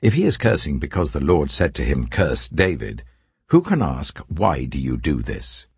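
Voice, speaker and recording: A man playing a sorrowful, commanding old king in a solemn, measured audiobook reading of scripture. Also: very deep voice, huge pitch swings, darkish tone, husky, no echo, exaggerated voice. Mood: pained, resigned.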